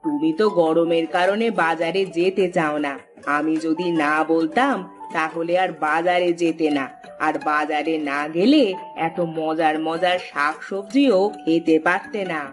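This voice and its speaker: A sharp-tongued landlord's wife from a cartoon, played by a woman with a lowish voice. She nags and scolds steadily while music plays underneath. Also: unhurried. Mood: knowing. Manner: scolding, nagging.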